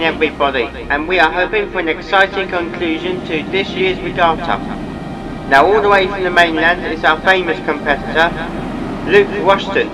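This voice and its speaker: Guy doing regatta announcer, formal and upbeat, pretty high voice. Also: natural voice, low-quality recording. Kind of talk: amateur audio drama acting.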